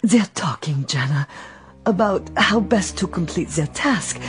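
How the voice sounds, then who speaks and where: An actress playing a loyal, devoted robot maid who speaks in a measured, formal way in a radio drama. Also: female voice, fairly low pitch, animated, faint music behind. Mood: earnest.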